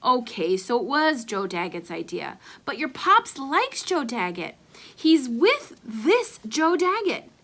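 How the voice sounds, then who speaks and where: A woman's voice: on the high side, sing-song, takes it slowly, bright, forceful tone, exaggerated voice. A woman voicing a feisty, scrappy boy who hollers and grumbles indignantly in a children's storybook. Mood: insistent. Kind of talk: children's storybook read-aloud character.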